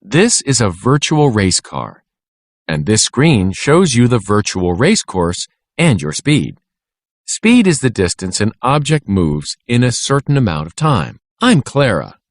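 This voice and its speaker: Guy doing a cheery lady scientist in a kids storybook, bubbly, slow n clear explaining, very up and down. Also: mid-range voice, big pitch swings, no echo, studio quality. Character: cheerful, eager woman scientist on inline skates.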